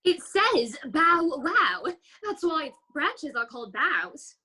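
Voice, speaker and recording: A woman's voice: high voice, big pitch swings, takes it slowly, sharp tone, heavily acted. A woman voicing a pert, disdainful talking garden flower in a children's read-aloud play, sassy and emphatic. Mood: sassy, indignant.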